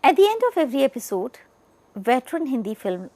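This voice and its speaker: A woman with an Indian accent presents an educational lecture. Her voice sits a little high and moves with very animated intonation. She stresses key words and speaks straight to the listener like a teacher. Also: quick pace, no room sound. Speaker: media educator presenting a lesson. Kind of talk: lecture-style explanatory narration.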